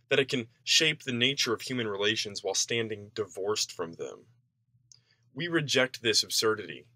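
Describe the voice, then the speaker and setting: A man's voice: medium pitch, pushed and bright, no room sound. A man reading a written essay aloud in a formal, declarative way, as scripted narration. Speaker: reader of an essay.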